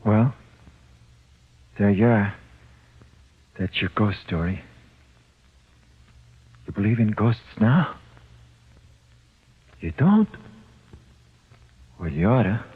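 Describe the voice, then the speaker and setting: An actor in 1940s radio horror, playing a desperate, haunted dead convict with a rough, husky voice and a slow, intense delivery. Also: male voice, fairly low pitch, lively intonation, heavily acted. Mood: eerie, bleak. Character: desperate, haunted convict telling his ghost story from beyond death. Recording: muffled phone-like 1940s radio recording.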